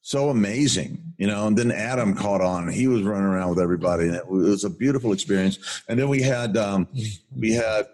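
An older man with a booming voice, co-hosting a podcast and telling a story in an enthusiastic, rambling way with many restarts. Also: fairly quick, a little mellow. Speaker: podcast co-host. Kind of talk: casual rambling chat.

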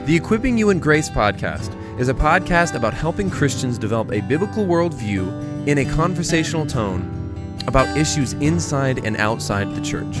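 A man reading a polished, scripted podcast introduction. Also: mid-range voice. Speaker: show announcer. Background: guitar music.

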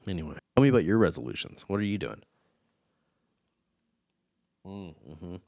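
Casual male talker, very low voice, unhurried delivery, dry close sound, reflective and rambling. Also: big pitch swings, gravelly, darkish tone, no room sound. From United States. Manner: rambling, reflective, many fillers and restarts. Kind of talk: casual chat.

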